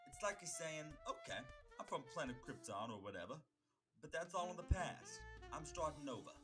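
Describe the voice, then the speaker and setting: A man voicing a cocky, opinionated, streetwise hitman with a soft heart in a comic book dub, chatty and slangy. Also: a little high, sing-song, normal speed, over music, pitched above the performer's own voice. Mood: impassioned.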